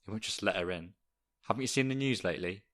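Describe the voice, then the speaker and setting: A man acting a gruff, cynical schoolteacher in a naturalistic audio drama, blunt and sardonic. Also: fairly low pitch, fast, a bit bright, natural voice. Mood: grim. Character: cynical, gruff male schoolteacher trapped in a crisis.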